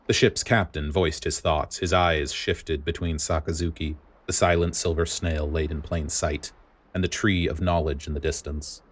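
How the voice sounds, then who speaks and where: A man reading a story aloud as dramatic scripted narration, in a grave, deliberate manner. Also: low-pitched. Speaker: podfic reader.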